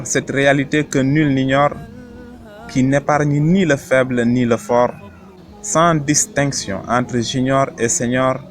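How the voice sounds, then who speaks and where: A man with a fairly low voice recites devotional verse in a solemn, measured way. His delivery is earnest and exhorting, shaped like scripted rhyming poetry. Faint music sits behind the voice.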